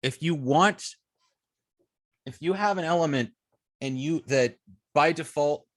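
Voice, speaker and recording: A man giving a live coding tutorial as an instructor, explaining step by step with halting phrasing, frequent ums and self-corrections. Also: on the high side. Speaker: web development instructor.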